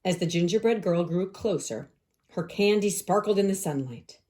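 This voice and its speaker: A woman teacher narrating a children's story aloud, expressive and carefully phrased for young listeners. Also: on the low side, moderate pace.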